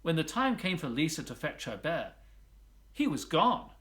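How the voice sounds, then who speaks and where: A man's voice: fairly high pitch, big pitch swings, normal speed, a bit bright. A man narrating a children's picture book expressively, as a volunteer storybook reader.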